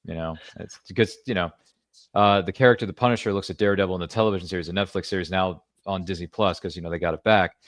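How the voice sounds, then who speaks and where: Fast-talking deep-voiced man hosting. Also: steady tone, no room sound, clean studio sound. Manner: rambling, jokey asides. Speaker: podcast host. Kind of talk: casual live interview chat.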